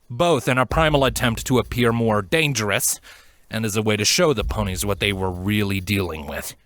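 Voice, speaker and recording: A man's voice: mid-range voice, a bit bright, no echo. A man reading a fantasy story aloud as a dramatic scripted narration. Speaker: fan fiction narrator.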